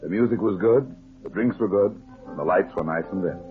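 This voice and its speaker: An actor playing a wry, hard-boiled, heavyset private detective, acting and narrating a 1950s radio drama on a muffled vintage recording. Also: male voice, deep voice, brisk, faint music behind, close to an everyday voice.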